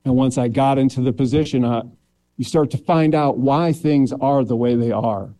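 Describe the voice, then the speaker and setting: Plainspoken male candidate at a public forum, deep dark tone, sincere with a dry joke now and then. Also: moderate pace. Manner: earnest and plainspoken, with light joking. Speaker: county clerk candidate.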